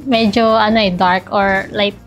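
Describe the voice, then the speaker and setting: A woman's voice: medium pitch, steady tone, moderate pace. A woman in her mid-twenties with a Filipino accent, giving short, hesitant answers as an interview guest. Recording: music behind the voice. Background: background music.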